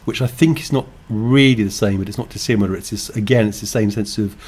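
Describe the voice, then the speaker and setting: An English man co-hosting a podcast, talking thoughtfully in halting, restarting sentences. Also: fairly low pitch, darkish tone, husky. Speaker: podcast co-host, game designer. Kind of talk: casual chat.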